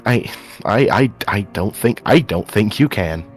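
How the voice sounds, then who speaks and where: A man acts out a sceptical, wary questioner in an improvised anime game roleplay. His voice is fairly low, and he stumbles over repeated words as he presses for answers. Light music runs underneath. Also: expressive, a little slow. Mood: doubtful, pressing. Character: wary, sceptical male questioner distrustful of demons.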